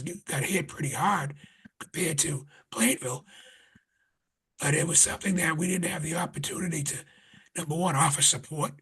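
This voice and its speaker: A man chairing a town board meeting in a conference room, speaking earnestly with restarts, his voice getting raspy. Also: fairly high pitch, a bit bright, low-quality recording. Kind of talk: public meeting remarks.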